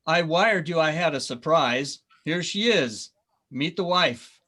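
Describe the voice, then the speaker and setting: A man's voice: on the high side, lively intonation, a little slow, pushed and bright, heavily acted. An actor playing an eager young newlywed man in an amateur radio play. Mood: cheerful, proud. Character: eager young newlywed brother back home. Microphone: video call.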